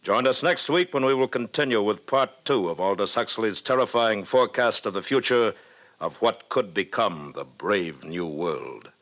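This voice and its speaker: A man's voice: lowish voice, lively intonation, normal speed, a bit bright. An American man announcing in a formal, portentous 1950s radio style. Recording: vintage 1950s radio recording.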